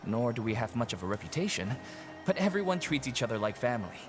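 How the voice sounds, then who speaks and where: A man's voice: medium pitch, big pitch swings, fast talker, lightly acted. An actor playing a friendly, persuasive male prison inmate recruiting newcomers in a video game. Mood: affable. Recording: loud game music. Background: game music.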